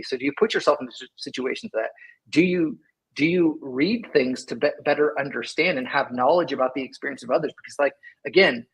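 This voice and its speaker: Man, middle-aged, husky voice, rambling casual interview talk. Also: mid-range voice, poor audio. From Sacramento, California. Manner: rambling, lots of "like" and "right?". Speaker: engineering leader, guest.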